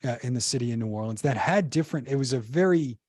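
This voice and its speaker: A man's voice: medium pitch, lively intonation, fast talker, a little mellow, slightly rough, no echo. A man, a podcast guest, telling history in a casual, rambling way full of fillers and restarts. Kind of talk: casual conversational storytelling.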